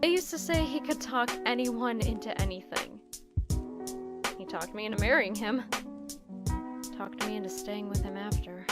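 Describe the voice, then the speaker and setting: A subdued, widowed mother played by a woman looks back in a plain, reflective manner. Her voice sits at an ordinary pitch in a comic dub, with strong music playing behind it. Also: lightly acted.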